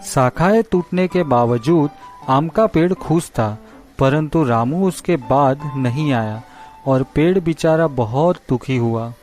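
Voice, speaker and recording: A man narrating a scripted children's story in a simple, measured way. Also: fairly high pitch, expressive, darkish tone, faint music behind.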